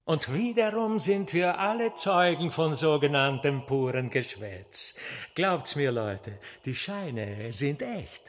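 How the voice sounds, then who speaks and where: An actor playing a mocking, showy magician in a radio drama, with theatrical, teasing, drawn-out delivery. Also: male voice, on the high side, expressive. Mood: scornful. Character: mocking, showy male stage magician and trickster.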